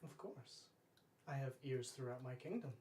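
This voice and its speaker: A man in his thirties running a tabletop roleplay as game master, speaking formally and deliberately in character. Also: medium pitch, expressive, darkish tone. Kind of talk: tabletop roleplay narration, speaking as a fae ruler. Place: indoor room, live-streamed tabletop game. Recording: roomy, distant mic, faint noise.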